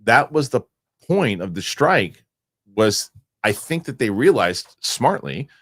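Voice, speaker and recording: A man hosting a casual podcast chat, animated and rambling, often restarting his sentences. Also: medium pitch, slow pace, breathy edge, dry recording, studio quality. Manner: animated, rambling, self-correcting with repeated starts. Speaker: show host.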